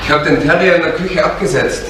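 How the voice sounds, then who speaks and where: A man's voice: on the high side, fairly even intonation, fast, echoey room, background noise, close to an everyday voice. An actor playing a correct, matter-of-fact man with a formal manner in a 1970s radio crime drama.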